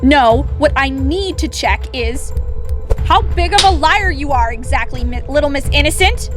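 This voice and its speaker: Actress as high-voiced, sharp-tongued teenage girl. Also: expressive, measured pace. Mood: accusing. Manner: sarcastic, needling. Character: Veronica, sharp-tongued suspicious teenage girl, accusing best friend. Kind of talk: radio drama acting. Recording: music under the dialogue.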